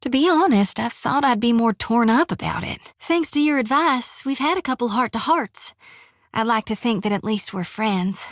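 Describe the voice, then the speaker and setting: A woman's voice: a little high, expressive, moderate pace, no echo, clean studio sound, close to an everyday voice. A woman voicing a bashful young priestess. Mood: tender. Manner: earnest, bashful, self-deprecating. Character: earnest young woman priestess companion, shy and lovestruck. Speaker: game companion. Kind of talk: video game voice acting. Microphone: dry close studio mic.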